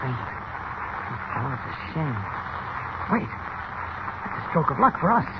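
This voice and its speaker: An actor playing a calm, mild-mannered newspaper reporter, reassuring and measured, in 1940s radio style. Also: male voice, mid-range voice. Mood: musing. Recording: noisy, muffled old radio recording.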